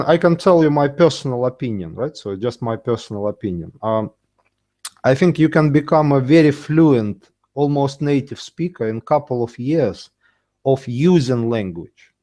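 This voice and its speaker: Relaxed man, native Russian speaker. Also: medium pitch, unhurried. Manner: relaxed, frequent mm-hmms and soft laughs. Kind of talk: casual video call chat.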